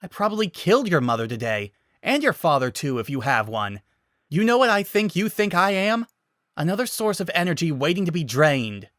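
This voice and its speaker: A man's voice: fairly high pitch, big pitch swings, a little fast, no room sound, clean studio sound, pitched above the performer's own voice. A man as a fierce, bitter female bounty hunter. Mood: angry, anguished. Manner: terse, forceful, spitting out words.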